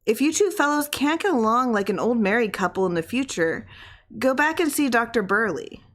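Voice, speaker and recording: A woman reader plays a loving wife in a storybook, her voice lifted higher. She is tender and reassuring as she coaxes a troubled husband. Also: lively intonation, normal speed, fairly bright tone. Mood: firm, reassuring.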